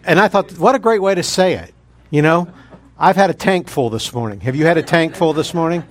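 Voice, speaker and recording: A guest preacher opens his sermon in a man's voice that sits slightly high. His delivery is folksy and joking, full of light asides to the congregation. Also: fairly quick. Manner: jokey, folksy.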